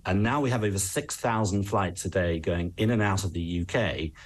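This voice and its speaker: Low-voiced English man, measured expert commentary. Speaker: travel expert, interview guest. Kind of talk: news interview commentary.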